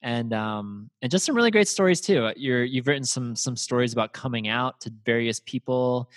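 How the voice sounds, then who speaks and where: A man's voice: mid-range voice, a little fast, dry recording. Chatty upbeat male podcast host, dry sound. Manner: upbeat, chatty, laughs often. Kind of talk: casual podcast chat.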